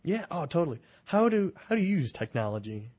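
A man's voice: a little high, big pitch swings, a little fast, darkish tone, dull audio. A young man hosting a casual podcast. He is getting over being sick and is losing his voice, and he jokes and banters with his co-hosts. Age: young adult. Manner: joking, banters back and forth. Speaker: podcast host.

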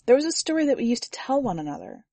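A firm, reserved woman diver in a storybook reading, her voice pitched a little above the narration and climbing when she is pressed. A woman reader brings her to life, close and dry on the mic. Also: very animated, rapid-fire, dark tone, no room sound. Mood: earnest.

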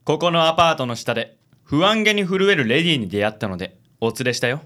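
A man's voice: on the high side, sing-song, quick pace. A man playing a pompous, suave gallant. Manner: pompous, chivalrous, flowery gentlemanly speech. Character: suave, theatrical gallant man who fusses over ladies. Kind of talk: comic radio drama acting.

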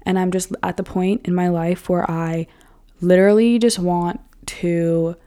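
A woman's voice: fairly low pitch, fairly even intonation, a little slow, dark tone, smooth. A woman in her early twenties talking casually and frankly to her viewers, swearing freely and filling her talk with "like.". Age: 20s. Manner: chatty, frank and profane, lots of "like". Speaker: vlogger.

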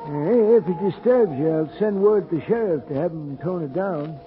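An actor playing a forgetful, folksy old hotel keeper in a 1940s radio drama, rambling and repeating himself in rustic speech. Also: male voice, fairly high pitch, moderate pace, faint music behind, lightly acted. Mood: folksy, eerily matter-of-fact. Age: older. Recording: tinny, band-limited 1940s radio recording.

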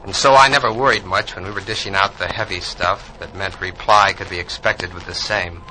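An actor playing the calm ghost of a sea-loving navy sailor, reflective and solemn, in 1940s radio verse drama. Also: male voice, low-pitched, brisk, slight background noise, low-quality recording. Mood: wistful, solemn. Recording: old 1940s radio broadcast recording. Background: musical score.

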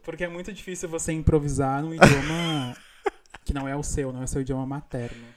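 A man's voice: a little high, fairly even intonation, quick pace, crowd noise. A Brazilian man hosting a podcast in casual chat, talkative, with frequent restarts and laughs. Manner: chatty, laughs often, many restarts. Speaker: podcast host.

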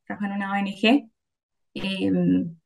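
A woman's voice: mid-range voice, steady tone, unhurried, vocal fry, a little mellow. A woman in her twenties, a guest in a casual group discussion, speaking thoughtfully and hesitantly with many fillers. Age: 20s.

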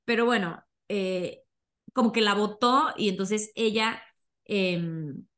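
A young Mexican woman hosts a podcast in a medium-pitched voice. She tells stories informally, as if sharing gossip with a friend, in casual conversational language. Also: slow, fairly bright tone. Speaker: podcast host.

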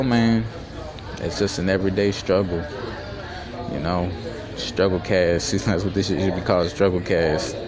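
Deep-voiced young man with streetwise swagger. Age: 20s. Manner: streetwise, profane, boastful slang talk. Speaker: podcast host. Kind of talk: casual solo podcast monologue. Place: fast-food restaurant. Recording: crowded restaurant chatter behind. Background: restaurant crowd chatter.

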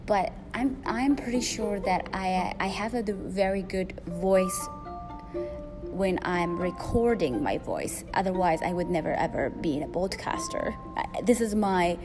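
Loud-voiced woman podcaster over music. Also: fairly low pitch, lively intonation, measured pace. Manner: rambling, frequent fillers and restarts. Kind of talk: solo podcast monologue. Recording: music playing behind.

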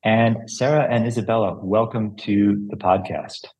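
Man, podcast host, very deep voice, relaxed interviewer, fillers. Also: normal speed, poor audio. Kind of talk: interview questions.

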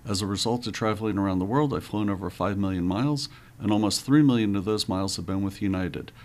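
A man hosting a travel podcast, talking in a relaxed, friendly and earnest way. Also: lowish voice, fast. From United States. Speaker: podcast host. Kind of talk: casual podcast chat.

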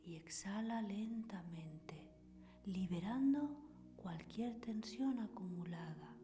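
A woman host leading a scripted guided sleep meditation in a soothing, breathy whisper, with pauses between short phrases. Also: mid-range voice. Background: soft meditation music with singing bowls.

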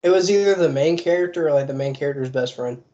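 A man's voice: a little high, quick pace, warm tone, dull audio. A man co-hosting a casual podcast, laid-back and self-mocking, laughing a lot. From United States. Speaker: podcast co-host. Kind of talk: casual chat.

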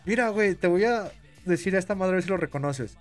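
A man with a Mexican Spanish accent hosting a casual podcast chat, excitable and laughing, swearing freely. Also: sits high, quick pace, faint music behind. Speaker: podcast host.

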